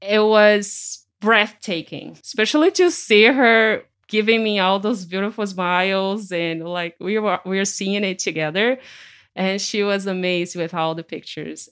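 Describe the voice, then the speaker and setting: A woman photographer hosting a podcast interview in her studio, speaking gently and effusively with many fillers. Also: mid-range voice. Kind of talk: warm conversational interview.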